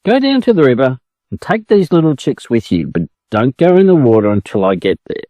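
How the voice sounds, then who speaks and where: A man's voice: mid-range voice, sing-song, brisk, mellow, no echo, close to an everyday voice. An Australian man voicing a sociable, mildly strict mother duck in a storybook reading. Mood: mildly scolding. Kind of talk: storybook character voice.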